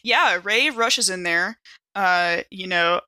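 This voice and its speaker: A woman's voice: on the low side, slow, bright, forceful tone, dry recording. A woman playing a tabletop role-playing game, chatting informally and thinking aloud as she improvises.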